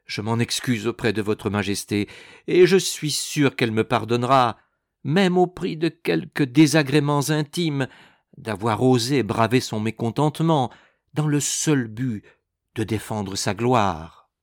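A man's voice: medium pitch, lively intonation, unhurried, dry recording, studio quality. A man voicing a proud, courtly police minister, ceremonious and assured, in a storybook reading. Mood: deferential, proud.

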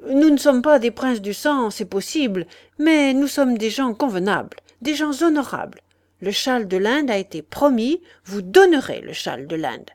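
A woman's voice: medium pitch, animated, unhurried. A woman voicing a proud, indignant father. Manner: firm, clipped and loud. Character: proud, angry middle-aged father of the bride.

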